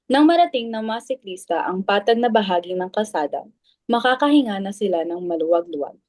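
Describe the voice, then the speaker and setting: A woman's voice: mid-range voice, lively intonation, brisk, a little mellow, no echo. A woman with a Filipino accent reading stage directions as scripted narration for a dramatic reading.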